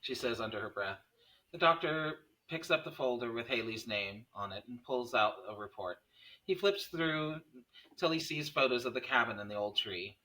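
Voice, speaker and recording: A man's voice: medium pitch, lively intonation, normal speed, bright, forceful tone, poor audio. A man narrating scene headings and stage directions from a script at a table read.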